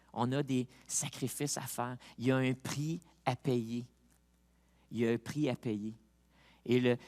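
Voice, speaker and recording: A man with a Québécois accent, a pastor preaching a sermon who moves from quiet reflection to loud, rising appeals, with chatty personal asides. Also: medium pitch. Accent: Québécois French. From Quebec. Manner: chatty asides with fillers and laughs.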